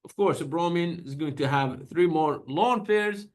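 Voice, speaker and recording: A man's voice: fairly high pitch, measured pace. A man whose first language is Persian, giving a university chemistry lecture in a chatty way, with many fillers and small grammar slips.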